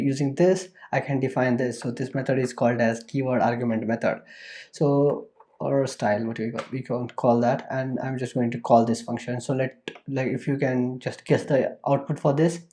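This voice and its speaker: A man's voice: medium pitch, mellow. A man explaining programming while running code on his screen, in a loose, repetitive way with many fillers and restarts. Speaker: programming tutor. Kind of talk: screen-recorded coding tutorial.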